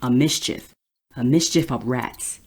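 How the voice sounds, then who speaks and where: An actress playing an anguished, empathic woman in an audio drama, speaking in a halting, solemn, intense way. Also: rumbling low, background noise. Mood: haunted sorrow. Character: anguished empathic woman pitying caged lab animals.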